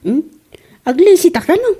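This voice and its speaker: An actress playing a nagging, teasing wife in a comedy drama. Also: female voice, very high-pitched, big pitch swings, moderate pace, mellow. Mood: bickering.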